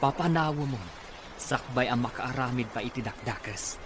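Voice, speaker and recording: A man's voice: medium pitch, normal speed, background noise, close to an everyday voice. A man with an Ilocano accent playing a concerned, blunt young friend in an audio drama serial. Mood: warning.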